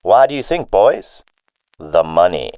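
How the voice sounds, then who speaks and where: An actor playing a cold, menacing police chief turned mob boss in a theatrical radio drama style. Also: male voice, low-pitched, sing-song, a little slow, mellow, no echo, heavily acted. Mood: smug.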